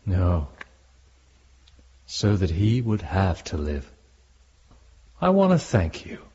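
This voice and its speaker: Deep, very husky troubled penitent. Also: male voice, wildly swooping pitch, dark tone, heavily acted. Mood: bleak, sombre. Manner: halting, brooding, formal. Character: troubled, sombre male penitent haunted by the past. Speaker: actor. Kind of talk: radio drama acting. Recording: muffled, lo-fi.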